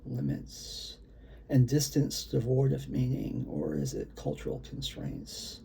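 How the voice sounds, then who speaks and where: A man performs spoken-word poetry in a very gravelly voice with a dark, mellow tone. He takes his time over the phrases and leans on key words for dramatic weight. There is slight noise behind him on the recording. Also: medium pitch, very animated, slow. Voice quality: gravelly, creaky. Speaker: poet reading own work. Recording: faint background room noise.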